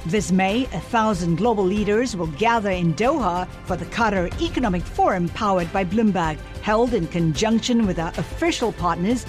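A woman announcer giving a scripted promotional read with a smooth, upbeat delivery. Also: medium pitch.

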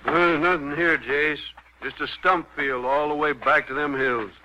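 An actor playing a folksy, plain-spoken county sheriff in a 1950s radio western, with drawn-out country phrasing. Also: male voice, on the high side, fairly even intonation, heavy background noise, natural voice. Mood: puzzled, businesslike. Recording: dull vintage 1950s radio recording.

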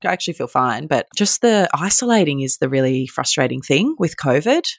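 A woman's voice: sits low, big pitch swings, a little fast, no room sound, studio quality. A young woman co-hosting a podcast, upbeat and encouraging in casual chat. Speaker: podcast co-host. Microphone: remote recording.

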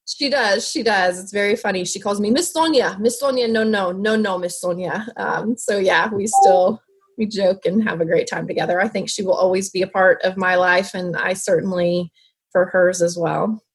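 A woman's voice: mid-range voice, moderate pace, slightly rough, poor audio. A woman who grew up as a military kid, a foster parent speaking as a guest and giving earnest encouragement. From moved around as a military child. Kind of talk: encouraging advice.

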